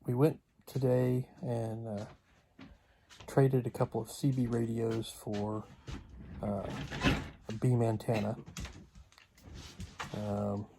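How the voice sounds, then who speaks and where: A man talking casually and unscripted for a vlog, in a halting, hesitant way with long pauses. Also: mid-range voice, little pitch movement, vocal fry, mellow, slight background noise. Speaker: vlogger.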